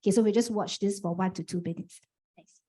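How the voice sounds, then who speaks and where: A woman's voice: medium pitch, quick pace, dark tone. A woman presenting a programme briefing, explaining step by step with many "okay" fillers. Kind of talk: information briefing.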